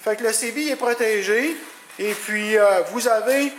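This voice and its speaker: Man, Quebec French accent, high-pitched voice, informal installer demo, colloquial phrasing, faint noise. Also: measured pace. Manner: informal, colloquial, hands-on explaining. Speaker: CB radio installer presenting his work. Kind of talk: casual product walkthrough.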